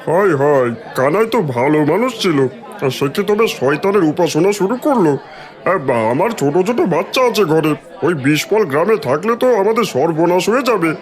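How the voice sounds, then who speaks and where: A man's voice: high-pitched, expressive, brisk, a faint crowd. A man voicing an earnest, dramatic village man in a cartoon, with exclamatory delivery. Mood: fearful. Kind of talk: Bengali cartoon voice acting.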